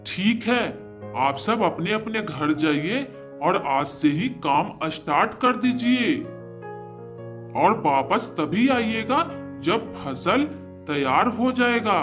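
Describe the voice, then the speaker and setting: A man's voice: high-pitched, animated, slow pace, over music. A man voicing an authoritative, generous bird king of the forest, speaking patiently and declaratively in a children's moral-story cartoon. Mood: patient, commanding.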